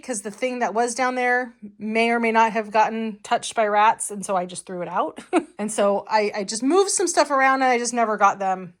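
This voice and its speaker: A hoarse woman vlogging casually as she walks through her family house, chatty and rambling, laughing now and then. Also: on the high side. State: hoarse from a long cough.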